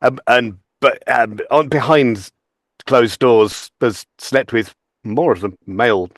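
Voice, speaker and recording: A man's voice: medium pitch, slow pace, no echo, clean studio sound. A man chatting casually as a podcast guest, with a hesitant, wry manner. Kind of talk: casual chat.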